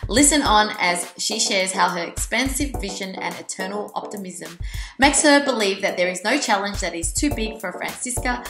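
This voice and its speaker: A woman's voice: medium pitch, lively intonation, normal speed, reverberant, music in the background. A woman in her thirties who grew up partly in Vietnam, hosting a podcast with a read intro and a bubbly, laughing chat. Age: 30s. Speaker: podcast host. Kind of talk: scripted intro then casual chat.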